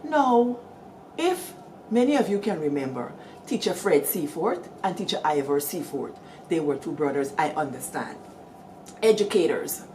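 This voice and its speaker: A middle-aged Guyanese woman hosting, telling village stories in a lively, animated way and calling out to her listeners. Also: low voice, normal speed, slight background noise, poor audio. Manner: lively, animated storytelling with call-outs to listeners. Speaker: storyteller host. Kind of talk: storytelling talk.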